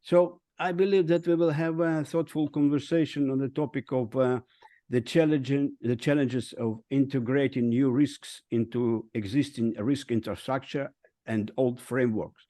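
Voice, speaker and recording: A man hosting a podcast interview in a formal, halting manner. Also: mid-range voice, steady tone, mellow, no echo, clean studio sound. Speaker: podcast host.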